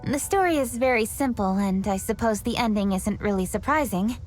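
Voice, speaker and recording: A woman voicing an earnest, driven young woman in a game cutscene, speaking in a composed, thoughtful, measured way. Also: on the high side, close to an everyday voice. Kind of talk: video game cutscene dialogue. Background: game music.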